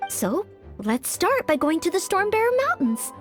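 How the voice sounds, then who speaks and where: A woman's voice: very high-pitched, sing-song, moderate pace, faint music behind. A woman voicing a polite, earnest, self-doubting teenage maid-knight girl in a video game, speaking formally with some hesitation. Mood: eager, focused. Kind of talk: English video game voice acting.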